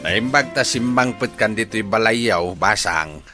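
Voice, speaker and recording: A man playing an insistent older father in a Filipino radio drama, persuasive, with emphatic, drawn-out delivery. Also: fairly low pitch, expressive, music in the background. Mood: coaxing. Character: insistent older father pushing his daughter to marry.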